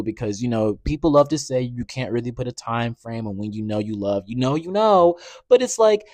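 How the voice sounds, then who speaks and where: Young male podcast host, 20s, animated and sassy, very dry close mic. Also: no echo. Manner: animated, sassy, conversational with frequent "you know what I'm saying"; rises into loud high outbursts when worked up. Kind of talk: casual solo podcast talk.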